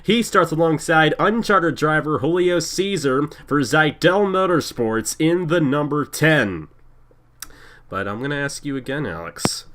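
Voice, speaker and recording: Congested male play-by-play host, bright and slightly high, sing-song race announcer. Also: measured pace. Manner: energetic announcer cadence, sing-song emphasis. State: congested from a cold. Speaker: play-by-play commentator. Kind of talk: sim racing broadcast commentary.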